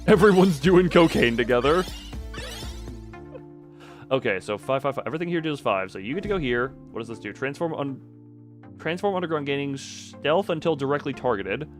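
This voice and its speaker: A man livestreaming a game with casual, excitable commentary, rambling and thinking aloud to himself. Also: mid-range voice, normal speed. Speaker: game streamer. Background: music.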